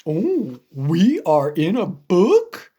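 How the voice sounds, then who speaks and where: A man voicing a big, naive, anxious male elephant in an animated picture-book read-aloud, earnest and easily astonished. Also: high voice, very slow, breathy edge, voice pushed down, exaggerated voice. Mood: puzzled.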